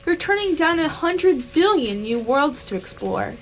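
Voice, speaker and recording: Galactic hitchhiker, radio theatre woman actor: high voice, breezy, nonchalant, unflappably matter-of-fact. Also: very animated, unhurried, full character voice, rough recording. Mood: matter-of-fact, dry. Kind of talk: amateur radio theatre dialogue.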